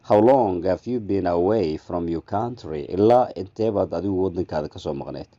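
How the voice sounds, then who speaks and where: A man teaching a language lesson who reads each phrase slowly and then translates it. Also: sits low, warm tone, no echo. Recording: dull lo-fi audio.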